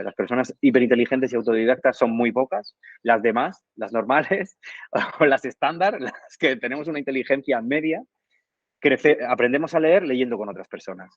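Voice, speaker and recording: A man's voice: mid-range voice, dry recording. Man, reflective interview guest, hesitant fillers, lo-fi line, dry. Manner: thoughtful, frequent "eh" and tag questions. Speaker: guest, reading promoter. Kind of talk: reflective radio interview answers.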